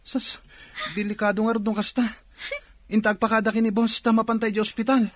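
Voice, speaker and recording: A man's voice: high voice, completely flat delivery, fairly quick, no echo, clean studio sound, close to an everyday voice. Man acting earnest, worried Ilocano employee. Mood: alarmed. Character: worried, respectful male employee. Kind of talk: radio drama acting.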